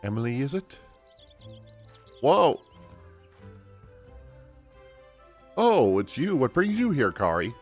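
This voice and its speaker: Guy doing an excitable sweet elf girl from a fantasy game, raised pitch, earnest, game music under it. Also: a little slow. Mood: excited, startled. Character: eager, sweet young elf woman rehearsing cute poses.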